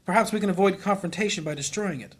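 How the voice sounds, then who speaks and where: Casting: worried, earnest cleric for fantasy audio drama, rapid delivery, raspy-soft husky tone. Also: male voice, high-pitched. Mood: urgent, earnest. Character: earnest, anxious male priest on a covert search.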